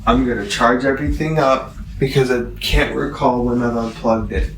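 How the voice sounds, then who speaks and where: A man giving a casual, rambling product review, halting and correcting himself as he thinks aloud. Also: medium pitch, fairly even intonation, slight background noise. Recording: roomy, echoing, distant from the mic.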